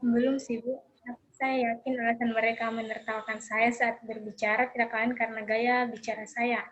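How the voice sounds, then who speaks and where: A young woman playing a counseling client in a role-play, speaking hesitantly with soft fillers over an online call. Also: a little high, flat delivery, normal speed, a little hiss or hum. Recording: dull lo-fi online call audio.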